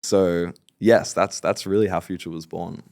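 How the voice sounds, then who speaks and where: A man's voice: low-pitched, steady tone, normal speed, gravelly, darkish tone, dry recording, studio quality. A man in his late twenties, a podcast guest talking confidently and agreeably about his business. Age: 20s. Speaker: podcast guest, fulfillment company founder. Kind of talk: casual interview talk.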